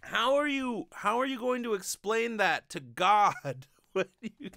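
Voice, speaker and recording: Male comedy host, incredulous ranter, very lively intonation, dry close-miked home recording. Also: high-pitched, a little slow, creaky, dry recording. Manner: incredulous, ranting. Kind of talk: casual comedic commentary with swearing. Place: small home bedroom. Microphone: desk microphone with pop filter, close.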